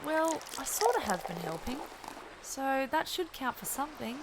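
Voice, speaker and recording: An eager young companion, played by a woman in an audio drama. The voice is fairly high and lively, hesitant in places, over faint background noise. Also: moderate pace. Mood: sheepish. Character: eager, slightly insecure young companion, chirpy and loyal.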